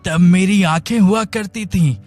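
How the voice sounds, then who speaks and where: A man voicing a cunning blind schemer who puts on a plaintive, melodramatic manner in an animated story. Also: sits high, measured pace, faint music behind. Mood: wistful. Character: scheming blind man feigning helpless, wronged innocence. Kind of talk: animated moral story dialogue.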